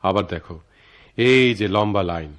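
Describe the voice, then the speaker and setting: A man's voice: fairly low pitch, normal speed, a little hiss or hum, close to an everyday voice. A man with a Bangladeshi accent acting a reassuring young man in love in a radio drama, patient and a little theatrical. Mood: reassuring, playful. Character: reassuring young man eloping with his sweetheart.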